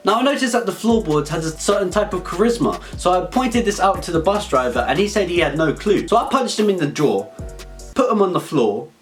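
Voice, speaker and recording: Higher-voiced British man telling absurd stories. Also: expressive, brisk. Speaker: comedy video creator. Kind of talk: absurd comedic storytelling monologue. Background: background music.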